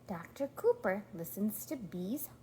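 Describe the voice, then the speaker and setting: A woman reading a storybook aloud to a toddler in an encouraging, sing-song way, pausing to prompt and praise. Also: mid-range voice, big pitch swings, warm tone, slight background noise. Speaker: parent reading aloud to a young child.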